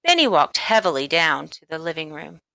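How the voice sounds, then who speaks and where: A woman reading a children's book aloud as expressive, deliberate scripted narration. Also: deep voice, gravelly, no echo. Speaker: storybook reader.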